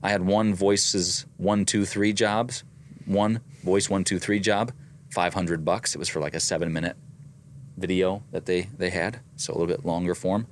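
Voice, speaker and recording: A man's voice: low voice, fairly even intonation, normal speed, slight background noise. A man with a slight Midwestern accent, co-hosting a casual business chat and methodically reading out his weekly numbers. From American Midwest. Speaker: voice actor and co-host.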